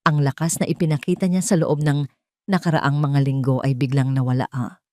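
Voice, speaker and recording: Mellow, low-voiced woman storyteller. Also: fairly even intonation, brisk, no echo, studio quality. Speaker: story narrator. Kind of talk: dramatic scripted narration.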